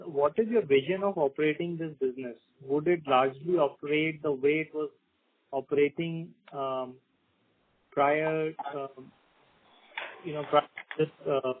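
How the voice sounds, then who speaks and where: A man asking questions as an analyst on an earnings call, polite and halting, over a phone line. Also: on the high side, no room sound. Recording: narrow dry phone line.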